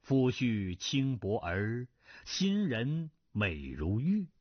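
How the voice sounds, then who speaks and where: A man's voice: very animated, drawn-out words, no echo, heavily acted. A man doing a regretful, sorrowful older nobleman. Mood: wistful reciting. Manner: low, soft and heavy with regret. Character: sorrowful middle-aged nobleman full of regret. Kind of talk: storytelling, reading a martial arts novel. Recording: dry, slightly dull lo-fi recording.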